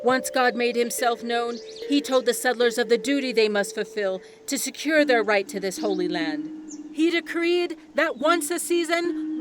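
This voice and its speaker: A woman playing a devout island priestess in an audio drama, telling sacred history as solemn, reverent, measured oratory. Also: high-pitched, background noise, lightly acted. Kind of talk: ceremonial storytelling in an audio drama.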